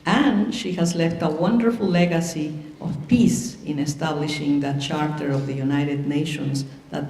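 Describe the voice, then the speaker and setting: A man's voice: fairly high pitch, steady tone, moderate pace, darkish tone, a little hiss or hum. Man speaking from far off in an echoey room. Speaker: speaker honoring a woman at a ceremony. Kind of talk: ceremony speech. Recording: roomy echo, far from mic.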